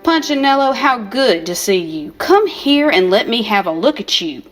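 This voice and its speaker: An older woman voicing a kind old bearded woodcarver who speaks gently and reassuringly. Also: fairly high pitch, sing-song, normal speed, soft background music.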